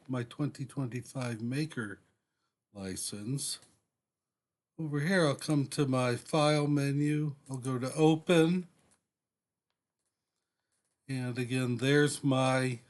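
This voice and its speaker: A man with an average-pitched voice talks through a software demonstration step by step. His pace is slow and deliberate. He speaks off the cuff, often halting partway through a sentence before carrying on. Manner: deliberate, halting, frequently pausing mid-sentence. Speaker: software tutorial presenter. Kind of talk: step-by-step screen demonstration, unscripted.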